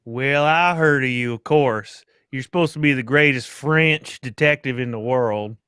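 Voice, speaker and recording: A man playing a gruff, impatient, suspicious father in an old-time radio drama, talking in a curt, blustery way. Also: medium pitch, a little slow, sharp tone, no echo. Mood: gruff, dismissive.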